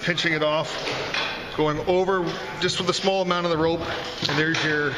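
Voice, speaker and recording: A man's voice: a little high, steady tone, normal speed. A man giving a hands-on tutorial as an instructor, explaining step by step. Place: workshop with concrete floor, indoors. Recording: echoey room, loud background noise, clicks.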